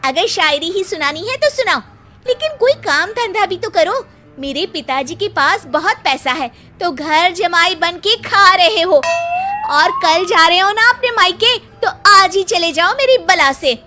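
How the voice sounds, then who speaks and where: A woman's voice: very high-pitched, animated, a little slow, music in the background. A woman playing an irritated, sarcastic young wife who scolds and nags in a comic cartoon story. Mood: scolding.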